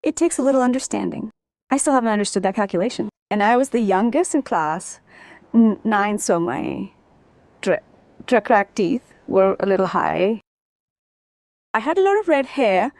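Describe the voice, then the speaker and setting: A woman from Bangladesh telling her life story as an interview guest, in an animated, emphatic manner. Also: medium pitch, normal speed, no echo. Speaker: interview guest, former sprinter.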